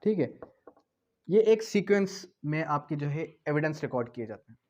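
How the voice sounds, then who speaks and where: A man's voice: fairly high pitch, lively intonation, moderate pace, warm tone, a little hiss or hum. A man with a Pakistani accent teaching law in a didactic lecture style, repeating key terms and posing questions that he then answers. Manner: didactic, repetitive, asking and answering own questions. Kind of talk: explanatory lecture mixing Hindi-Urdu with English legal terms.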